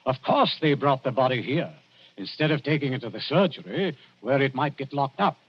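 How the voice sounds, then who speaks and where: An actor in a 1940s radio drama playing a brusque nineteenth-century London police inspector, officious and emphatic. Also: male voice, medium pitch, animated, fairly quick, breathy edge. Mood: triumphant. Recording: muffled vintage 1940s radio recording.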